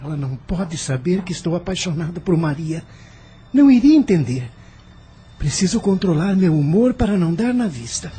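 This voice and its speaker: A woman voicing a sullen, rebellious teenage son in an audio novel, husky, curt and petulant. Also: on the low side, big pitch swings, moderate pace, mellow, soft background music. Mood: secretive.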